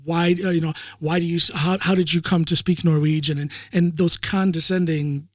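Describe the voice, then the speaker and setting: American male podcast host, somewhat high, casual and stammering, dry close mic. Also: fairly even intonation, normal speed, no room sound. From United States. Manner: friendly, repeats words when starting. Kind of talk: casual interview conversation.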